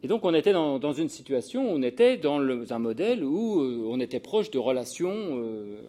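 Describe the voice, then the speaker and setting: Man, 60s, from the Paris region. Informal lecturer, mid-pitched voice, hesitant and digressive, often restarting his sentences. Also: big pitch swings, normal speed, dark tone. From Paris region, France. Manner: hesitant, digressive delivery with self-interruptions and repeated words. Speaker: sociologist giving a talk to social work professionals. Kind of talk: informal lecture with personal anecdotes.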